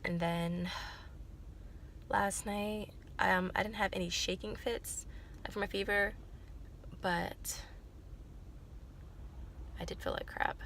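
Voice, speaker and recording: A woman's voice: medium pitch, steady tone, takes it slowly, pauses often, a bit bright, slight background noise. A young woman with a cold, sounding congested and nasal, chatting casually to camera in a rambling way full of fillers and self-corrections. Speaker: vlogger.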